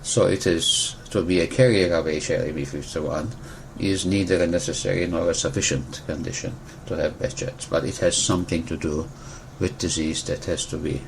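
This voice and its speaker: A man explains medical matters in measured, careful sentences. He often stops to correct and rephrase himself for precision, and a faint hiss sits under his words. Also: deep voice, fairly even intonation, vocal fry, rough recording. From Turkey. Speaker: physician, disease expert. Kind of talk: explanatory medical interview answers.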